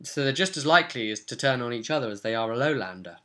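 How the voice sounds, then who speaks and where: A man's voice: medium pitch, expressive, fast talker, bright, forceful tone. A young man with a British accent casually recapping a tabletop role-playing session as its game master. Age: young adult. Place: small cluttered room at home.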